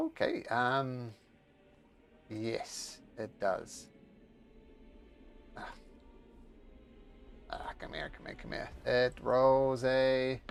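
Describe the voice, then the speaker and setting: A man's voice: medium pitch, creaky. A man from New Zealand with a hard-to-place mixed accent, running a tabletop game as game master and calling out dice rolls in a laid-back way. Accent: mixed international accent.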